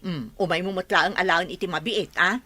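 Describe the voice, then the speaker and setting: A woman's voice: lowish voice, brisk, pushed and bright. A woman playing a grumbling but caring, no-nonsense village aunt in a radio drama, blunt and scolding.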